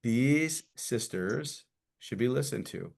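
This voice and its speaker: A man's voice: mid-range voice, lively intonation, slow, vocal fry, a little mellow. A man teaching an informal church class, talking in a folksy, conversational way and acting out little quotes and images as he goes.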